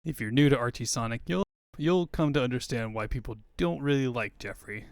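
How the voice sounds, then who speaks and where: A man's voice: medium pitch, fairly quick, creaky, dry recording, studio quality. A man hosting a comic fandub with casual, chatty commentary, joking and swearing freely. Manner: jokey, sweary. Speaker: host and fandub reader.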